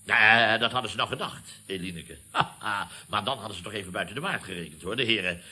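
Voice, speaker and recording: Blustering, self-important boss, piercingly bright. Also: male voice, fairly low pitch, fairly quick. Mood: smugly triumphant. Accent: Netherlands Dutch. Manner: blustering, repetitive, pompous catchphrases. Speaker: company boss and club chairman. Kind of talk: 1970s radio comedy acting.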